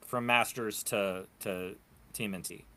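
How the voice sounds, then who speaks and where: A man talking casually as a panelist in a fan discussion, halting and repeating words as he works through a thought. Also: on the low side, steady tone, pushed and bright.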